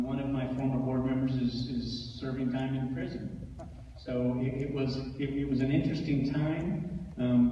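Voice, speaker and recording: A middle-aged man answers at a public forum, haltingly repeating words and restarting phrases. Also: medium pitch, a little hiss or hum. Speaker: school board applicant, former member. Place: large echoey room at public forum. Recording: echoey hall, far off, dull.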